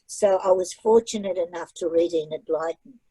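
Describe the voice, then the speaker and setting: A woman's voice: lowish voice, normal speed, warm tone, slightly rough, no echo, poor audio. A woman author who learned English as a second language, speaking in a chatty, emphatic way and often repeating phrases for effect, over a video call. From migrated to Australia at age nine. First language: not English. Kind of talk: casual online library talk.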